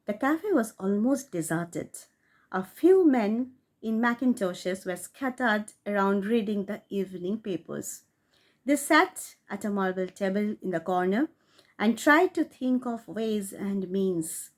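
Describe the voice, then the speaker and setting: A woman reading a short story aloud in a measured, expressive narration. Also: medium pitch. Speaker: audiobook reader.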